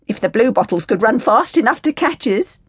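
Woman putting on a loud high girl, young orphan, scornful and mouthy, voice leaps around. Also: very animated, fairly quick, slightly rough, no room sound, clean studio sound, heavily acted. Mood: mocking. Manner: scornful and outspoken. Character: brash indignant young East End orphan girl.

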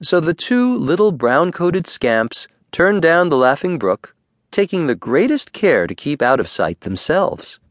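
A man reads a children's bedtime story with very lively, swooping intonation. His storytelling is expressive and deliberate, recorded dry and close. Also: on the high side, no echo. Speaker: audiobook narrator.

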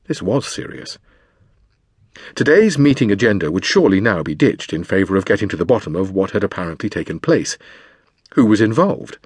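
A man reading a memoir as a scripted audiobook narration, in a measured, deliberate manner with dramatic pauses. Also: on the low side, breathy edge, no room sound, studio quality.

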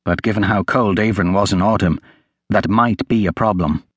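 A man narrating fiction as a scripted audiobook, telling the story in an expressive, measured way. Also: fairly low pitch, husky, dry recording.

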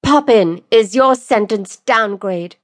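A woman's voice: medium pitch, takes it slowly, no echo, clean studio sound, pitched above the performer's own voice, natural voice. A woman voicing a stern noblewoman judge who speaks formally and with authority in a fantasy story. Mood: solemn. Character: stern commanding noblewoman judge reading out law and sentence.

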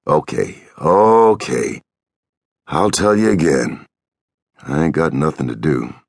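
Man playing a gruff, irritable little drifter. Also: very deep voice, very animated, slow pace, no room sound, clean studio sound, exaggerated voice. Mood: exasperated. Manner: gruff, scolding, folksy rural American speech. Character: small, sharp, irritable American drifter, weary and bossy.

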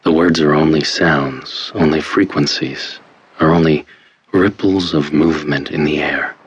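Super low slow hypnosis tape man, calm n controlling, soothing, muffled lo-fi cassette sound. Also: breathy edge, heavily acted. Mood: soothing, hypnotic. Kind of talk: guided hypnosis instructional tape in an audio drama. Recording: dull lo-fi cassette-style sound. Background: faint music.

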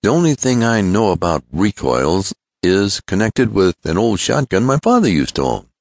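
A man's voice: on the low side, very animated, normal speed, dry recording, clean studio sound, pitched above the performer's own voice. A man voicing an eager, joking young male friend of an inventor in an adventure storybook, breezy, exclamatory and full of questions. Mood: rueful, chatty.

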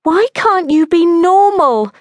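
A woman narrator plays an exasperated younger sister in a comic audiobook memoir. The voice is pitched high and plaintive, wailing and whining at the bride with open frustration. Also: lively intonation, slow, no echo, exaggerated voice. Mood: embarrassed wailing.

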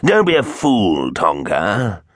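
A man playing a chunky, scary dinosaur villain, boastful and menacing, with a rasping hiss in a children's storybook. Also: lowish voice, wildly swooping pitch, takes it slowly, no room sound, studio quality, pitched above the performer's own voice, exaggerated voice. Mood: commanding. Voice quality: rasping, hissing.

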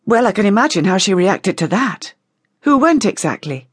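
A woman's voice: mid-range voice, sing-song, normal speed, slightly rough, no room sound, studio quality, pitched above the performer's own voice. A woman voicing a defensive, impatient female vampire in an audiobook, with a challenging, questioning manner. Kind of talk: audiobook character dialogue.

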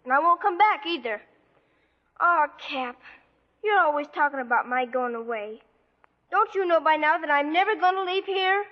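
A plucky eleven-year-old orphan girl in a vintage radio drama. Her voice is extremely high, and she is pert and affectionate. The recording sounds dull and old. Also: animated, moderate pace. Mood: indignant, loyal. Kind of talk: 1940s radio acting.

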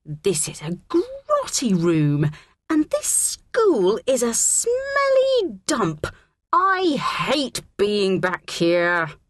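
A woman narrator puts on a bossy schoolgirl of about eleven for a children's story. She is rude and sneering, with big swoops in pitch and a close, dry sound. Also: big pitch swings, normal speed, no echo. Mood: grumbling. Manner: sneering, hissing, fake-sweet to adults.

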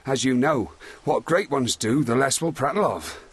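Steady sea captain, husky voice. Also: male voice, medium pitch, moderate pace. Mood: earnest, reassuring. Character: respectful, steady sea captain who survived a shipwreck. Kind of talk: Shakespearean audio drama.

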